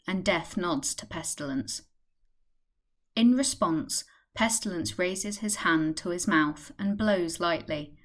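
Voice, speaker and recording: A woman with a fairly low voice narrates a radio play. Her delivery is scripted, describing the action as a storyteller. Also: fairly bright tone.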